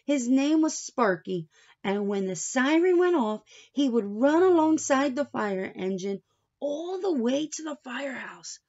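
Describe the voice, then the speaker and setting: A woman's voice: high voice, sing-song, measured pace, a little mellow, highs cut off, voice pushed up, heavily acted. Woman playing a playful storybook grandfather. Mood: fond recounting. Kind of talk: children's storybook read-aloud.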